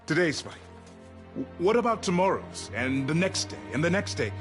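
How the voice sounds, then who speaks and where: An actor playing a serious, sceptical soldier in a video game, earnest and dry in manner. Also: male voice, on the high side, sing-song, moderate pace, faint music behind. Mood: exasperated. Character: serious, exasperated soldier, sceptical brother-in-arms. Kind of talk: video game cutscene acting.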